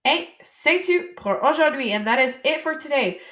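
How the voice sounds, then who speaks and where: A woman from the United States teaching French vocabulary, saying each word slowly and then explaining it in casual English. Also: high voice, lively intonation, fairly bright tone, echoey room. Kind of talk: vocabulary lesson.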